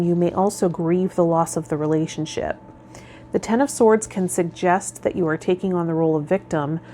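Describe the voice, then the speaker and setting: A woman hosting a tarot vlog, speaking in a measured, instructive way as a spiritual guide. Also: fairly low pitch, darkish tone, a little hiss or hum. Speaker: host of a tarot and spiritual healing channel. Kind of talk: tarot vlog, part read-out guidance, part informal talk.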